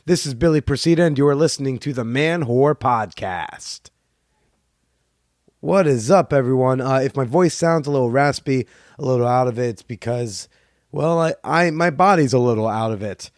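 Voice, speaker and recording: A man hosting a podcast, talking casually in a rambling, halting way with many fillers. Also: mid-range voice, dry recording, clean studio sound. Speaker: podcast host; comedian. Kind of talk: casual solo monologue.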